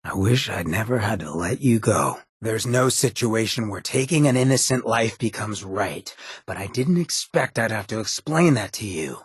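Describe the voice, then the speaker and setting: An actor playing a swaggering, sardonic but loyal male ghoul rebel in a video game, with a very raspy, gravelly American voice and a streetwise, folksy drawl. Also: lowish voice, lively intonation, normal speed, hardly any pauses, dry recording, studio quality. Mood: hurt, serious. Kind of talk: video game companion voice acting.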